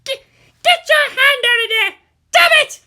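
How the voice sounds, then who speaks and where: A man voicing a fiery, bossy teenage anime girl who scolds and shouts indignantly. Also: very high voice, a little slow, pitched above the performer's own voice, full character voice. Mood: furious.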